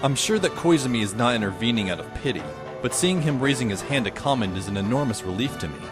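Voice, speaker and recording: A man's voice: medium pitch, lively intonation, quick pace, music in the background, natural voice. A man playing a wry, put-upon teenage boy, narrating in the first person for an audio drama. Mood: sardonic, weary.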